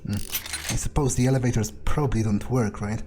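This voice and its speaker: A man's voice: medium pitch, steady tone, moderate pace, background noise. A man playing a video game in character, musing aloud with dry, muttered asides. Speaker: roleplaying gamer. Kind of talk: in-character roleplay commentary.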